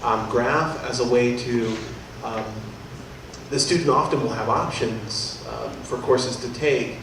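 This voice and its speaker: A man's voice: medium pitch, slow. A man giving spoken feedback on a startup idea, hesitant, with many ums and restarts. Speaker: audience member or judge giving feedback. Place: university event hall. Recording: echoey hall, distant mic, noisy.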